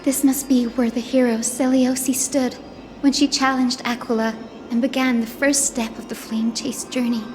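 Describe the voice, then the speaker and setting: A high-pitched young woman of an ancient sky people, played by an actress, speaks with earnest hope. Her delivery is poetic and solemn, like game cutscene storytelling, with music playing underneath. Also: flat delivery, normal speed, natural voice. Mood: solemn, resolute. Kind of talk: video game cutscene acting.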